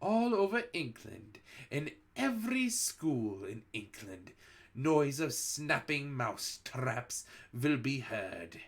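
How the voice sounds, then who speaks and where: A reader putting on the voice of a cruel, gloating old witch queen who shouts and chants a singsong rhyme in a storybook read-aloud. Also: medium pitch, very animated, slow pace.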